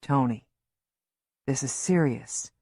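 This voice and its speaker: A woman voicing Lewis, a soft-spoken but firm man who reassures a distraught mother. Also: mid-range voice, slow pace, husky, no room sound, studio quality. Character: steady male agent, worried family friend.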